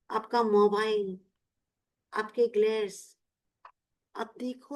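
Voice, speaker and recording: Coaxing woman leading a visualization. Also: on the high side. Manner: warm, coaxing, repeats "yaar" often. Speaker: self-help teacher. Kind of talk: guided visualization talk.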